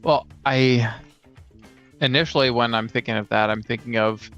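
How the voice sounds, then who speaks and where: Male co-host, clean resonant tone, little pitch movement, casual gaming talk, slightly lo-fi sound. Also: fairly even intonation, normal speed, a bit bright. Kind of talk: casual discussion of tabletop role-playing games. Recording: dull lo-fi sound, music underneath.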